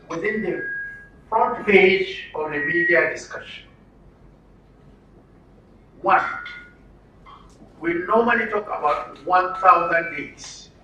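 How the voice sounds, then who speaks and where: A man with a fairly high, sharp voice leads a workshop like a lecturer. He presses points with emphasis and rhetorical questions. The room echoes around him as he speaks some distance from the mic. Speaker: trainer addressing media participants at an orientation. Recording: roomy echo, distant mic, faint noise.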